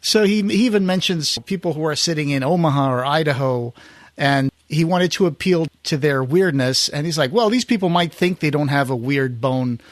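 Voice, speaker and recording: Slightly high-voiced man hosting a podcast, close and dry. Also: moderate pace, dry recording. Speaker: podcast host. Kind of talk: casual conversation.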